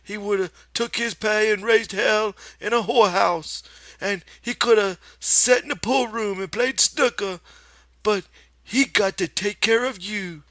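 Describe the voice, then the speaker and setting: A man's voice: high voice, a little slow, fairly bright tone, dry recording, pitched above the performer's own voice. A man voicing a disapproving, nagging old aunt with folksy rural speech in an audiobook. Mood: reproachful. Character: imagined fat old woman, scolding, disapproving aunt.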